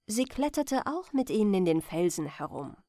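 A woman's voice: mid-range voice, big pitch swings, normal speed, dark tone, dry recording, clean studio sound. A woman reading a bedtime fairy tale as a scripted narration.

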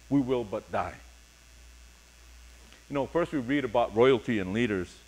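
A middle-aged man preaching a sermon as pastor, reading scripture and speaking deliberately in short, paused phrases. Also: mid-range voice, rough recording. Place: church sanctuary, speaking from a pulpit on stage.

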